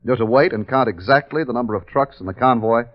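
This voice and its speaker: An actor playing a measured, authoritative army captain who narrates his wartime mission in a 1950s radio drama. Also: mid-range voice, lightly acted. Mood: briskly commanding. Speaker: narrator and commanding officer. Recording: dull 1950s radio recording with music.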